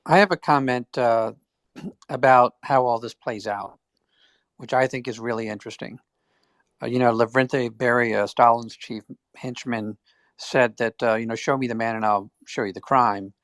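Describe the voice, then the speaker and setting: A man's voice: mid-range voice, moderate pace, a bit bright, no echo, clean studio sound. A man joining a live audio chat to make an opinionated point, arguing it step by step.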